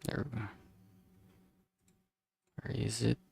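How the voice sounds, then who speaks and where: A streamer talking casually while working, thinking aloud and muttering in a halting way. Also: mid-range voice, completely flat delivery, gravelly, darkish tone, husky.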